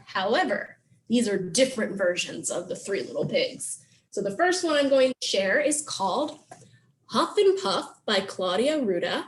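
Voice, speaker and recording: Lady doing preschool storytime, slowish and a bit high, encouraging, talks simply to little kids. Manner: simple, encouraging explanations aimed at young children. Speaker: children's library program host. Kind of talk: preschool story time, songs and book talk.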